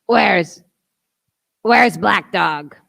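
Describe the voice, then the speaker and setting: A woman playing a gruff, desperate, rum-craving old male sea captain in a radio play, with a gravelly voice. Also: mid-range voice, drawn-out words, a bit bright, no echo, studio quality, exaggerated voice. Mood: weak, desperate.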